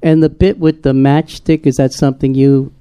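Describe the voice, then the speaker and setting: A man's voice: medium pitch, level tone, normal speed, dark tone, clear, resonant tone, no room sound. A man hosting a live onstage panel, chatty and teasing, laughing with his guests. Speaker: host moderating a live panel. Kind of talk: lively onstage Q&A, joking. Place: live theater event.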